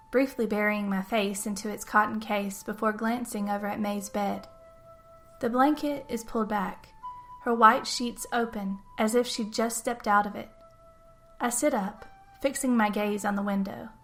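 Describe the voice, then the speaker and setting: A woman's voice: medium pitch, fairly even intonation, unhurried, faint music behind. A woman narrating a scripted story in the first person as a podcast host and storyteller.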